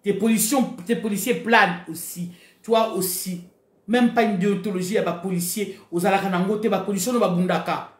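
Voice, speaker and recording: A woman's voice: medium pitch, steady tone, brisk, echoey room. A Congolese-accented woman delivering an impassioned political rant to her online followers, exhorting them and repeating phrases emphatically as she switches between Lingala and French. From Congo (DRC). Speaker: online political commentator, video host.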